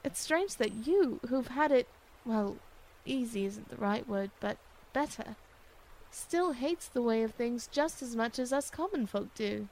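Woman playing a steady, higher-voiced village smith. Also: measured pace, poor audio. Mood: resigned. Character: steady, loyal young village blacksmith woman. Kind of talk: audio drama acting.

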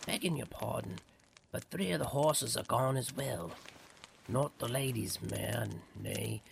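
A man's voice: medium pitch, a little slow, creaky, background noise. A man playing a deferential, rustic stable servant with archaic phrasing in an audio drama. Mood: respectful, concerned.

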